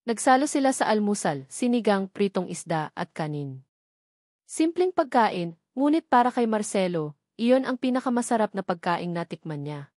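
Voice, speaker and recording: A woman's voice: mid-range voice, very animated, normal speed, darkish tone, no room sound, clean studio sound. A woman with a Filipino accent narrates a scripted romance story in a dramatic storytelling style.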